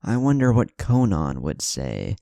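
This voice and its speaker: A man's voice: lowish voice, wildly swooping pitch, measured pace, gravelly, warm tone, dry recording, studio quality, voice pushed up, exaggerated voice. A man voicing a cocky, cunning, taunting young ninja in a narrated fan story.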